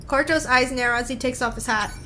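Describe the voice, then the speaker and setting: A woman streaming a video game, chatty and expressive, switching between reading aloud and casual commentary. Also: sits high, quick pace, a little hiss or hum. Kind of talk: casual playthrough commentary and read-aloud narration. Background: game music.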